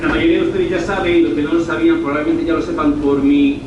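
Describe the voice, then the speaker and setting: A middle-aged man with a northern Spanish accent giving an informal university presentation, deliberate, repeating and rephrasing himself. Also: on the high side, steady tone, lo-fi sound. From northern Spain. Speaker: university lecturer. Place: university lecture room, recorded from afar. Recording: very echoey room, distant mic, clear noise.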